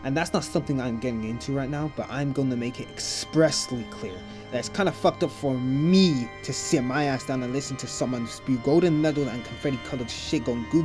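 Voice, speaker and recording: Casting: man as wry young guy for comic dub, medium pitch, slangy and rambling, music behind. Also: natural voice. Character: laid-back, slangy young human guy, evasively cool. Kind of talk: comic fan dub voice acting.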